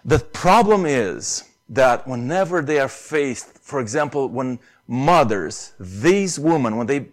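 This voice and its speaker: A man's voice: medium pitch, lively intonation, slow pace, few pauses. A man with a Romanian accent preaching a sermon earnestly, pressing hard on key phrases. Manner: earnest, rises to emphatic stresses on key phrases.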